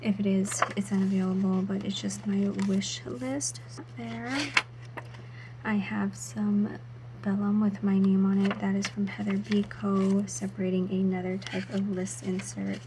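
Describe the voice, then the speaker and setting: A woman talks through her planner setup in a soft, half-whispered voice, her throat still a little sore. Her pitch sits in an ordinary middle range. A steady hiss of background noise runs under the recording. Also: a little slow. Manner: soft, half-whispered narration of each step. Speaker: planner vlogger. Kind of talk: casual voice-over while setting up a planner.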